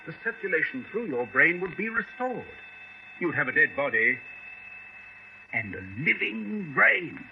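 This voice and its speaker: An eager, animated British surgeon. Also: male voice, on the high side, measured pace, vocal fry, full character voice. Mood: fascinated. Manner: eager, insistent, clinical. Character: zealous, persuasive neurosurgeon, coolly enthusiastic about gruesome experiment. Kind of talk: 1970s radio drama acting. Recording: muffled, noisy old radio broadcast.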